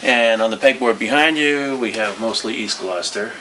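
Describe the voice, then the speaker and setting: A man's voice: fairly low pitch, moderate pace, pushed and bright, a little hiss or hum, rough recording. An older man in his sixties explaining things casually as a shop owner, in short matter-of-fact statements. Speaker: gallery shop owner, interviewee. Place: small gallery shop indoors. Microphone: handheld camera a few feet away.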